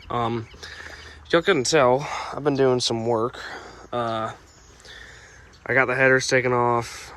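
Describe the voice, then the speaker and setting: Raspy young man, rambling garage vlog. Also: mid-range voice, a bit bright, a little hiss or hum. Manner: rambling, filler-heavy, self-correcting. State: just woke up. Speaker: truck restoration vlogger. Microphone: phone camera.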